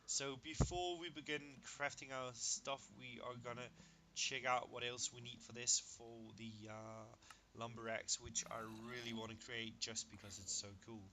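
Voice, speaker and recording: A man with a Danish first language talks through his game in an easy, chatty way. He gets excited over each result and does sums aloud, over a clear steady hiss. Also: mid-range voice, moderate pace. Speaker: gaming video creator. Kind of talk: casual gameplay commentary.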